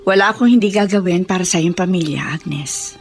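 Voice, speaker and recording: Actress as emphatic wealthy Filipina matron, melodramatic. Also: female voice, lowish voice, a little fast, close to an everyday voice. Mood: remorseful, grateful. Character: wealthy matronly woman, wronged, fierce yet deeply remorseful. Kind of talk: Filipino radio drama acting.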